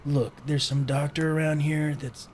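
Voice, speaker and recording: An actor playing the friendly but cautious leader of a homeless band in an audio drama, folksy and conversational. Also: male voice, fairly high pitch, little pitch movement, unhurried, slight background noise, lightly acted. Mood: uneasy, explaining.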